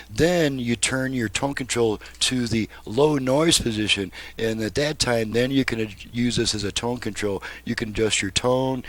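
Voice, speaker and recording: A man's voice: medium pitch, fairly bright tone, no room sound. A man giving a casual hands-on demonstration as a repairman, explaining step by step in a plain-spoken way. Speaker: repairman demonstrating a restored car radio.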